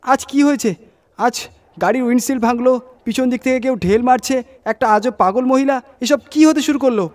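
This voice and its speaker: A man's voice: extremely high pitch, moderate pace, a little mellow, voice pushed up. A man playing an irritable, frightened truck driver in a horror audio story, agitated and full of exclaimed questions. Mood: annoyed, exasperated.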